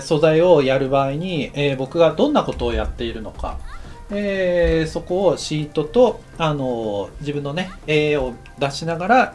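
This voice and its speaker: A man giving a casual, explanatory tutorial as an anime director, thinking aloud in short halting phrases. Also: a little high, a little mellow. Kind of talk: instructional lesson narration, casual and explanatory.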